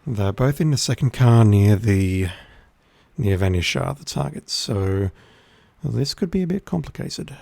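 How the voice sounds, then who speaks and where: Gravelly Australian man streaming games. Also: lowish voice, measured pace, no echo. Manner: relaxed, chatty, wry. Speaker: game streamer. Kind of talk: casual gameplay commentary.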